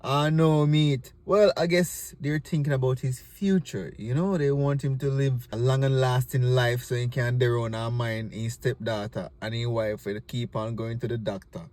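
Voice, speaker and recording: A man's voice: mid-range voice, fairly even intonation, normal speed, few pauses, a little mellow. A man with a Jamaican accent, casually hosting and giving comic commentary in Patois. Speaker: host introducing and commenting on stage play clips.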